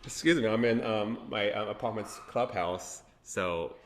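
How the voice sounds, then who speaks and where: A young man speaking as a podcast guest from an apartment clubhouse. He talks hesitantly, with many "um" pauses. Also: lowish voice, background noise. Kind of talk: casual conversational interview. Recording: echoey room with long reverb.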